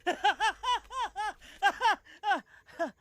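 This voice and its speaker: A cocky animated-film animal with a Bihari accent and rustic swagger. The voice is high and forceful, taking its time while swinging widely in pitch. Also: extremely slow, dry recording, heavily acted. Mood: gloating, taunting. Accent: Bihari Hindi. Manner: swaggering, colloquial, exclamatory. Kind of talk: animated film comedy acting.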